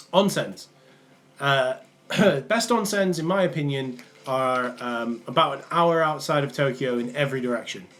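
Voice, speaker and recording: A man's voice: mid-range voice, a bit bright. A young man from the Manchester area with a Mancunian accent that comes and goes, chatting loosely on a live stream with many ums and restarts. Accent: Mancunian, partly worn off. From England, Manchester area. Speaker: livestream host answering chat. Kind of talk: casual live stream chat. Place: small room indoors.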